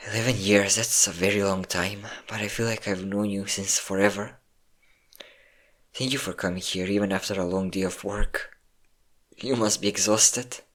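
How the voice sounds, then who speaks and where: A performer with a low voice playing an insecure, depressive young adult best friend in an intimate, halting audio roleplay monologue. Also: fairly even intonation. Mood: soft, affectionate. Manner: emotional, confiding, halting.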